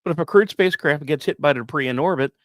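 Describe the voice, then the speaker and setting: A man hosting a podcast, delivering scripted storytelling narration with dramatic emphasis and deliberate pauses. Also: fairly high pitch, no echo. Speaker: podcast host.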